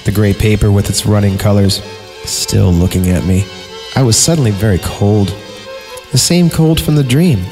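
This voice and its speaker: Male podcast host, lowish voice, tongue-in-cheek creepy-story reader, sarcastic and informal, heavy reverb with music underneath. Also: moderate pace, darkish tone. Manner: sarcastic, breaking off from narration into giggly asides. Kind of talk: mocking dramatic story reading with comic asides. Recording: reverberant voice over background music.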